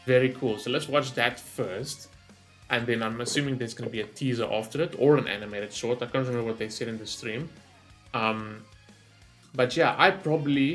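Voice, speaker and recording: A man's voice: mid-range voice, a bit bright. A man hosting a gaming reaction video, talking casually off the cuff, thinking aloud and often checking himself with a tagged-on question. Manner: rambling, thinks aloud, often tags sentences with "right?".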